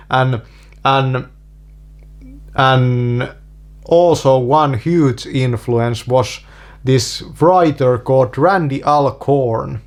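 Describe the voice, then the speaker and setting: A man from Finland with a Finnish accent, a native Finnish speaker talking in English, halting with many restarts and repeated fillers in a casual monologue. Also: medium pitch. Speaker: video creator.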